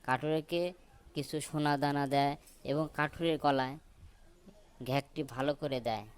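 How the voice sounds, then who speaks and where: A man's voice: fairly high pitch, fairly even intonation, a little slow, slight background noise. A man narrating a children's folk tale for a cartoon. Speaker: story narrator.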